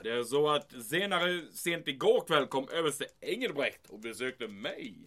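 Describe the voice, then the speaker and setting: A man's voice: medium pitch, animated, pushed and bright. A man playing a pompous German army major in an audio drama, blustering, evasive and easily flustered. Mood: confidential, uneasy.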